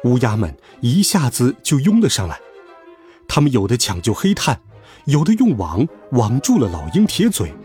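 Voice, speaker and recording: A man narrating a children's story in an expressive, scripted storytelling style. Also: medium pitch, moderate pace. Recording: music behind the voice. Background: music.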